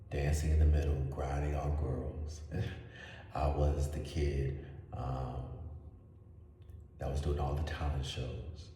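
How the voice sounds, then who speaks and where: A man, an actor, introducing himself in a casual, unhurried way, hesitant, with frequent ums and soft laughs. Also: extremely low pitch, a little hiss or hum. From Washington DC area, USA. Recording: echoey room, voice far from mic.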